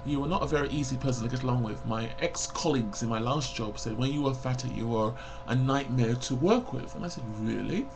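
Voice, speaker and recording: A man's voice: medium pitch, brisk, hardly any pauses, music in the background. A man of forty talking frankly and bluntly to a webcam in a personal confessional vlog, rambling and reflective. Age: 40s. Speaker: vlogger.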